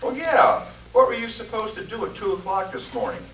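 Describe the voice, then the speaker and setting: A man's voice: sits high, very animated, brisk. A man playing a vain, fussy, flustered comedian in an old-time radio comedy on stage, hesitating and stammering as he talks. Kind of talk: 1940s radio comedy acting. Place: live stage show before an audience. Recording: echoey stage recording, distant, noisy, dull.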